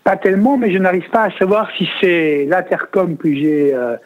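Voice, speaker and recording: Man, slightly high voice, phone-line sound, casual customer feedback, halting and repetitive. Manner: hesitant, repetitive, roundabout explaining. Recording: tinny, narrow phone line.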